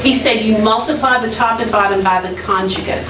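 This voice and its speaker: A woman's voice: mid-range voice, fairly even intonation, fairly quick, far from the mic, a crowd in the background. A middle-aged woman teaching math in a classroom, questioning students and repeating key points in a directive way. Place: classroom, heard from across the room. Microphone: distant camera microphone. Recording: echoey classroom from afar, noisy.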